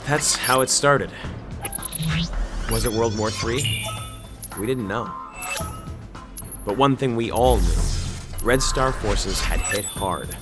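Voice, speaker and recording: An actor voicing a male war correspondent in a military video game. He has a medium pitch and a wry, serious way of telling his story. Music plays clearly behind him. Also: slow, long pauses, close to an everyday voice. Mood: grave, reflective. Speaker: embedded war reporter and narrator. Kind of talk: dramatic game cutscene narration and dialogue.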